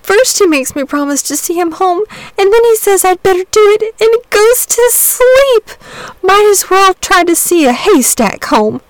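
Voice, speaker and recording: A woman voicing a tired, tearful little boy who pleads in a high, sobbing voice. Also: measured pace, dry recording, rough recording.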